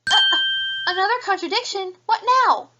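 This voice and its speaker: A woman's voice: extremely high pitch, animated, moderate pace, dull audio, very noisy. A woman playing an earnest, defensive rabbit police officer giving careful, formal testimony in an audio drama. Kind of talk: fan audio drama acting.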